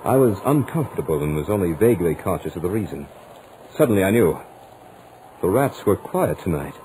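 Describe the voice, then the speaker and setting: A deep-voiced, anxious horror-story narrator. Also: male voice, sing-song, dark tone, slight background noise, poor audio. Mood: uneasy, brooding. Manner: dramatic, deliberate, suspenseful delivery. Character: anxious male student narrating his mounting dread in a haunted house. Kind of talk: 1940s radio horror acting, first-person narration. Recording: old 1940s radio recording, music behind. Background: dramatic musical score.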